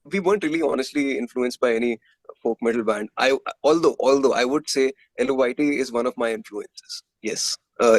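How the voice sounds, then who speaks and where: A man with an Indian accent speaks in a casual interview style. His voice sits at an average pitch, and the sound is dry, with hardly any room in it. He comes across as a musician answering questions conversationally. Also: a little mellow, dry recording, poor audio.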